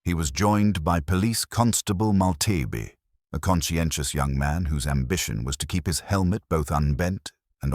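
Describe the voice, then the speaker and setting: A man narrates a literary mystery in a very deep, slightly husky voice. His delivery is measured and wry, with lively movement in pitch and a close, dry sound. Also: no room sound. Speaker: audiobook-style narrator.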